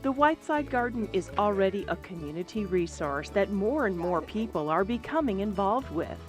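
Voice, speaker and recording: A woman's voice: medium pitch, expressive, normal speed, faint music behind. A woman reading a scripted documentary narration as the voice of a program.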